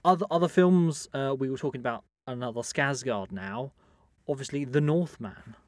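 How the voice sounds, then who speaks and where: A man's voice: medium pitch, normal speed, a little mellow, dry recording, studio quality. A man co-hosting a podcast, eagerly chiming in on casual film talk and repeating his phrases. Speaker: podcast co-host.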